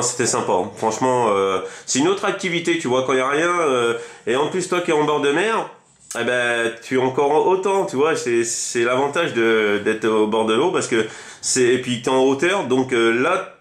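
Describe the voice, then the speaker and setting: A man's voice: mid-range voice, animated, moderate pace, a bit bright, roomy sound. A man chatting casually as a radio hobbyist, rambling and enthusiastic, often repeating himself. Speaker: amateur CB radio operator.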